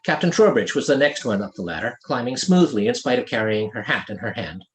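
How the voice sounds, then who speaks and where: A man reading his own fantasy novel aloud as an author, in expressive scripted narration. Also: medium pitch, a little fast.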